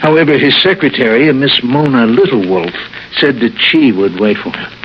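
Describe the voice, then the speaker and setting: A man portrays a formal, helpful male office manager in a vintage radio mystery. He speaks at a medium pitch, measured with small hesitations. The recording is dull with faint noise. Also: lightly acted. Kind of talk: 1950s-60s radio drama acting.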